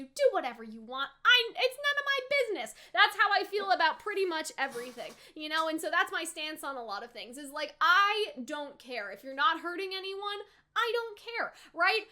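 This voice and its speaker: A young woman gives a casual, animated reaction and review, rambling and peppering her talk with "like" and "right?". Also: high-pitched. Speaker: anime reaction YouTuber.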